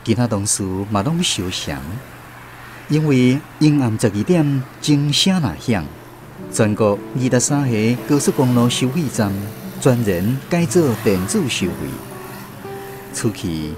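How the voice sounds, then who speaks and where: A man's voice: mid-range voice, animated, unhurried, over music. A man with a Taiwanese accent narrating a scripted literary radio drama as a storyteller.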